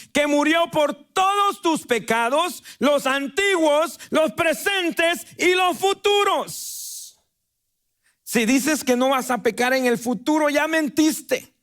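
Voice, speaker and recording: A man's voice: very high voice, fairly bright tone. A man with a Guatemalan accent preaching a sermon as a pastor, stretching out words for emphasis. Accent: Guatemalan Spanish. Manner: preaching with emphatic drawn-out stresses. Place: church sanctuary.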